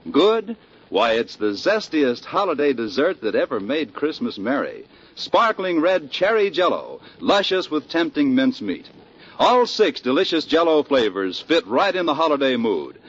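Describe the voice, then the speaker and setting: American guy, old time radio announcer, swoopy upbeat sales pitch, bit high, muffled recording. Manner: polished, enthusiastic salesmanship. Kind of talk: scripted 1940s radio advertising and narration. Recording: muffled 1940s radio broadcast.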